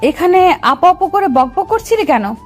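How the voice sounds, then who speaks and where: High-pitched actress as young woman, bossy and coy, teasing romance-story delivery, music underneath. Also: sing-song, moderate pace. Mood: annoyed. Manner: scolding, commanding, coy. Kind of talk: Bengali romantic audio story acting.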